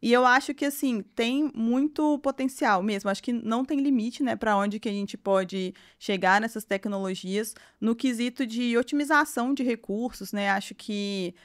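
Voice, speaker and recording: Woman guest with smooth, higher voice. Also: normal speed, few pauses. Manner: rambling, frequent "né" tags. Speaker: guest engineer. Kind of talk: podcast interview.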